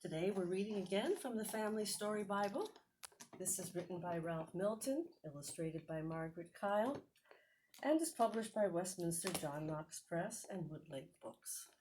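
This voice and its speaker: A woman hosting a children's Bible storytime, reading aloud in a measured, expressive storytelling manner. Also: lowish voice. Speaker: host and story reader. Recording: clearly noisy recording with clicks.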